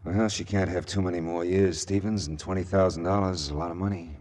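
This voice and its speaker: An actor playing a shrewd, world-weary private detective in mid-century American radio style, with a wry, hard-boiled, knowing manner and an American accent. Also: male voice, low voice, steady tone, fast talker, a little mellow, natural voice. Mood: knowing, gentle. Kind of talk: 1940s-50s American radio detective acting. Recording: vintage radio drama recording with music.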